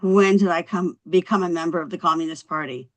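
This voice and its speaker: A woman's voice: lowish voice, fairly quick, lightly acted. A woman at a script table read playing a flustered, protesting young housewife and mother, with an incredulous manner.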